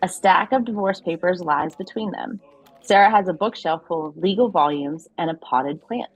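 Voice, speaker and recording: A woman's voice: fairly low pitch, moderate pace, breathy edge, dull audio. A woman narrator reading scripted scene descriptions for an audio play.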